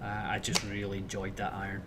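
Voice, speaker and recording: A man hosting a live question-and-answer chat as a club fitter, with a soothing voice and a relaxed, thoughtful delivery full of fillers. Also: lowish voice, fairly quick, slight background noise. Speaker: club fitter and show host.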